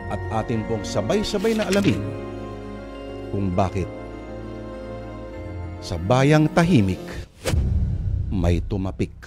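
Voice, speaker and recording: Filipino male host for dramatic radio storytelling: solemn phrasing, animated intonation, prominent music underneath. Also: fairly low pitch, takes it slowly, long pauses. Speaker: host and narrator. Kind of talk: dramatic scripted radio narration. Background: prominent background music.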